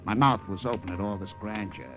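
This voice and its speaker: An actor playing a nervous American secret agent who narrates the story steadily and stammers and fumbles in scenes, in 1950s radio drama style. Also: male voice, fairly low pitch, fairly quick, loud music behind the voice, lightly acted, low-quality recording. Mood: narrating.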